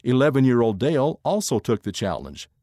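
A man giving a scripted narration of an article in a measured, expressive reading voice. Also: medium pitch, no echo, clean studio sound.

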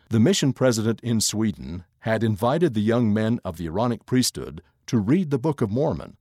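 A man giving a scripted narration of an article in a measured, expressive reading voice. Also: fairly low pitch, no room sound, studio quality.

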